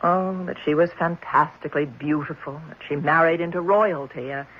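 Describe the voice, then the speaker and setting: Brisk, skeptical orphanage matron. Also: female voice, sits low. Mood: matter-of-fact. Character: brisk, skeptical woman running a children's home. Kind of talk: 1970s radio drama acting. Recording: muffled, slightly noisy old radio broadcast.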